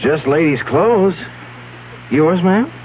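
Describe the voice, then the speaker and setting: Slow-talking, doubtful man. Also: on the high side, big pitch swings. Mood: skeptical. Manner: laconic, hesitant. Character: doubtful, placating man humoring a distressed lady. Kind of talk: 1970s radio drama acting. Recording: dull old radio recording, faint hum.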